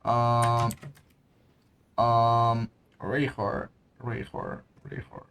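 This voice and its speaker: A man's voice: medium pitch, completely flat delivery, very slow, fairly bright tone, slight background noise. A man commenting casually on gameplay, hesitant and repeating words as he thinks aloud. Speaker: gaming streamer.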